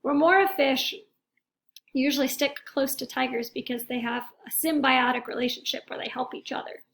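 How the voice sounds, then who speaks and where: A woman's voice: high-pitched, steady tone, moderate pace, fairly bright tone, a little hiss or hum. A woman teacher reading a picture book aloud to children, expressively and talking directly to young listeners.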